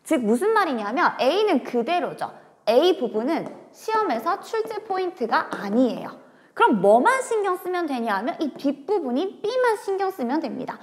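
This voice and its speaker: A woman's voice: high voice, big pitch swings, moderate pace, long reverb tail. A woman teaching a grammar lecture, talking straight to her students and moving from casual talk into polite speech.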